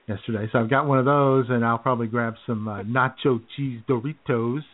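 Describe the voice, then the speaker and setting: A man's voice: mid-range voice, fairly even intonation, brisk. A man with a mid-range voice banters casually on a talk show. He is laid-back and rambles a little, feeling his way through sentences. The sound is narrow and thin, like a phone call.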